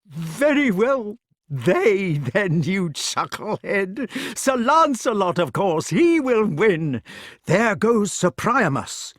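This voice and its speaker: An excitable old king who squeals and frets in a high, wavering voice, as a male reader puts him on. He darts between worry and delight. The recording is close and dry. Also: slow, no room sound, heavily acted. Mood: excited. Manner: high-pitched, breathless, exclaiming.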